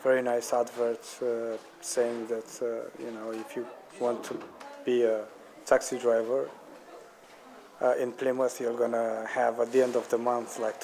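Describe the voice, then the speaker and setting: A man from Romania with a Romanian accent, a charity volunteer speaking haltingly about his life in the UK. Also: mid-range voice, mellow, a little hiss or hum. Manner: halting, searching for words. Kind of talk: documentary testimony.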